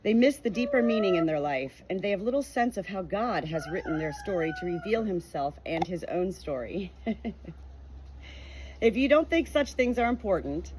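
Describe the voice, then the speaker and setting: An earnest woman reads a faith-based book aloud at an unhurried pace, pausing now and then to share her own reflections. Her voice sits in a middling register, with a faint rooster crowing in the background. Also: medium pitch, expressive, background noise. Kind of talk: reading a nonfiction book aloud with personal reflection.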